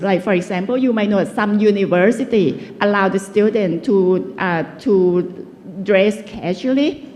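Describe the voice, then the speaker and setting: A woman's voice: mid-range voice, a little mellow. A woman giving a guest lecture in a chatty, conversational way, often stopping to search for words. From Thailand. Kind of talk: casual lecturing. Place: lecture hall. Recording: long reverb of a lecture hall.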